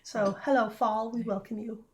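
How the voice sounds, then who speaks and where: A middle-aged woman co-hosting a casual chat, hoarse and gravelly from a cold, with a strained voice and frequent agreeing murmurs. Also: fairly high pitch, little pitch movement, normal speed, crowd noise. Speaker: podcast co-host.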